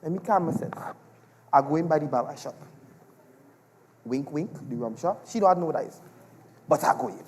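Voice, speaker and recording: A man's voice: on the high side, expressive, moderate pace, pauses often, a little mellow, lightly acted. A man playing a selfish, rum-loving Trinidadian husband in a theatrical stage comedy, exasperated and evasive. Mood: grumbling, sly. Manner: exasperated, exclamatory, dramatic. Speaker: stage actor. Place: large performance hall, on stage. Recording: echoey hall with long reverb.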